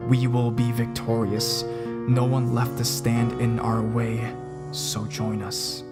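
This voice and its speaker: A man voicing a pale male demon who menacingly urges the listener to join his war, speaking slowly and gravely over guitar music. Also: medium pitch, monotone, close to an everyday voice.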